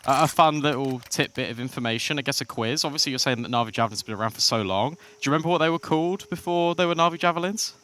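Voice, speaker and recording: A man doing live play-by-play commentary on an esports match, excitable and quick to build with the action. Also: mid-range voice. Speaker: esports caster.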